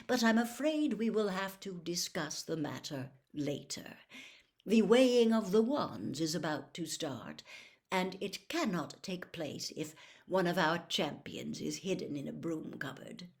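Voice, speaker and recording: Storybook role: a woman plays an elderly gentleman wizard headmaster, polite, measured, with dry amusement. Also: lowish voice, lively intonation. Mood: courteous. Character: courteous, twinkling old male wizard headmaster. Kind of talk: storybook character voice.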